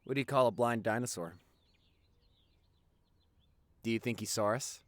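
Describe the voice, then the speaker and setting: A man's voice: medium pitch, no room sound, close to an everyday voice. A man playing a teasing, silly, doting boyfriend who has just woken up, rambling and gushing in an intimate audio roleplay. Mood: playful, silly. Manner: rambling, gushing, halting with repeated words.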